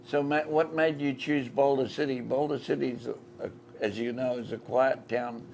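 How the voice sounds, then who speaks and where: A man with a New Zealand accent hosting a live-stream interview from inside a themed shop, speaking slowly and deliberately. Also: medium pitch, steady tone, a little mellow, a little hiss or hum. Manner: slow, deliberate, repeats the shop address. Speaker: podcast host.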